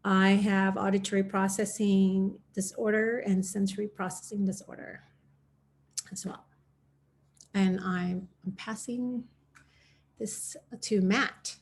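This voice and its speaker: A woman from Indonesia introducing herself earnestly to a round table, in halting phrases. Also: medium pitch, steady tone, slight background noise. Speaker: panelist, nonprofit advocate. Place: dining room at home, video call.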